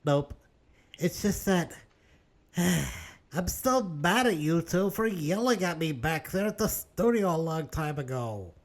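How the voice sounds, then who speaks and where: A man voicing a nerdy, easily excited intern with a theatrical manner in a web cartoon. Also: on the high side, takes it slowly. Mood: sulky. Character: aggrieved nerdy male intern, easily overjoyed. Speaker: voice actor. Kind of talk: amateur web cartoon acting.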